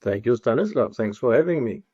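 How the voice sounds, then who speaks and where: A middle-aged man with a German accent speaks at a mid-range pitch, calm and measured, laying out his points in full sentences. The recording is close and dry, with no room around the voice. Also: breathy edge, dry recording, lo-fi sound. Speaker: guest, company executive and lecturer. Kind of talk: conversational podcast interview.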